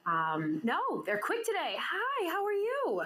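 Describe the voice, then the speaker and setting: A woman's voice: extremely high pitch, wildly swooping pitch, measured pace, bright, forceful tone, slight background noise. A woman hosting an interview show, bubbly and teasing, laughing often as she banters with her guest. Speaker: podcast host. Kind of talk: casual interview chat.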